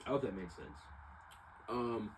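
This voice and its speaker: A young American man with a slightly low voice rambles reflectively through a casual personal monologue. He circles back and repeats himself as he goes. There is clear background noise in the recording. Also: lively intonation, unhurried. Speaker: vlogger.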